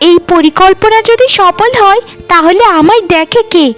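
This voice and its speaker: Woman acting jealous sly sister-in-law, shrill-high pitch, cartoon melodrama, theatrical, faint music. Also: moderate pace, fairly bright tone. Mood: scheming, gloating. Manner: theatrical, conniving. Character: sly, jealous, greedy elder sister-in-law plotting against bride.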